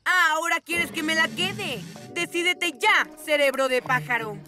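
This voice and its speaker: High-pitched, smug boy villain. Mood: scheming. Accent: Latin American. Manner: gloating, theatrical, drawn-out taunts. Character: scheming, smug boy mad-scientist villain. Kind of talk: animated children's cartoon dubbing. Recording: music behind the voice.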